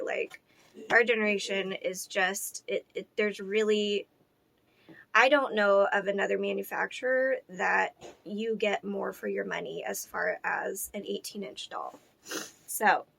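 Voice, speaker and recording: A woman in her thirties who sounds hoarse, chatting casually with lots of ums and likes while unboxing a doll for her channel. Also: medium pitch, fairly bright tone, dry recording. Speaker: doll collector vlogger.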